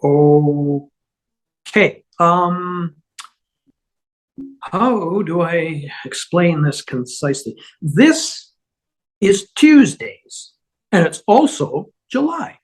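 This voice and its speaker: A woman reading the part of a flustered, apologetic male otherworldly schemer, husky and halting as she stammers through explanations. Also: lowish voice, very animated, heavily acted, low-quality recording. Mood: flustered explaining. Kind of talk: amateur script table read.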